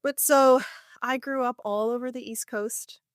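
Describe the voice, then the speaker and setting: A woman's voice: fairly high pitch, fairly even intonation, measured pace, no echo, studio quality. A woman guest on an interview show, telling candid, self-deprecating stories and laughing often in casual conversation. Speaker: podcast guest, actor.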